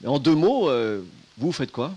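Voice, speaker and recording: A man's voice: on the high side, very animated, a little slow, mellow, slightly rough. A man moderating a round-table discussion, introducing guests casually with joking asides and many restarts. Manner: hesitant, repeats words, joking asides. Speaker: moderator of a panel.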